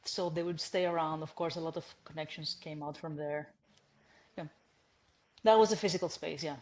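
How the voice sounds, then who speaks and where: A woman in her thirties from Greece, speaking as a podcast guest who tells her story in an animated way, with frequent restarts and light laughs. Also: low voice, moderate pace. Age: 30s. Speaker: guest, entrepreneur. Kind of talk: conversational podcast interview.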